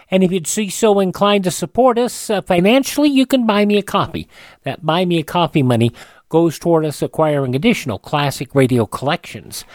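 High-pitched, animated male radio host. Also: no room sound, studio quality. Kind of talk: informal hosting of a classic radio program.

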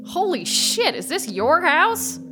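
A brash, coarse woman who swears freely and pushes in where she isn't wanted. Her voice jumps high and loud. Music runs under her in this horror radio play. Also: big pitch swings, takes it slowly, exaggerated voice. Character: crude brash tattooed woman, foul-mouthed and pushy. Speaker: actress. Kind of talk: horror radio drama acting.

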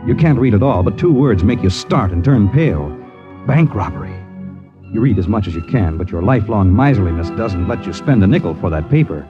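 An actor playing an ominous, taunting storyteller narrating a 1950s radio suspense drama in a dramatic, deliberate manner. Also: male voice, fairly low pitch, very animated. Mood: ominous, mocking. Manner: dramatic, deliberate, addresses character as you. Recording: dull vintage radio recording, music behind. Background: dramatic music.

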